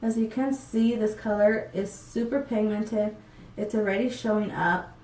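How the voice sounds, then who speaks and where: A woman's voice: mid-range voice, steady tone, measured pace, darkish tone, distant mic, a little hiss or hum. A young woman with a stuffy, congested voice from a cold, chatting casually through a makeup tutorial with many ums. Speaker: beauty vlogger. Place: small room, plain backdrop. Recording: roomy and off-mic.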